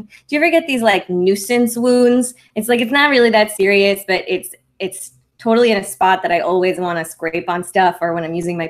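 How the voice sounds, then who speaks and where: A young adult woman hosting a casual maker livestream, speaking softly with lots of ums and likes. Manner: rambling, full of ums and likes; speaks softly and higher-pitched.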